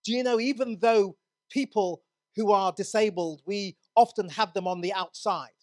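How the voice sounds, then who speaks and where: A man's voice: high voice, moderate pace, darkish tone. A man from England preaching a sermon in a church, earnest, often restarting his words.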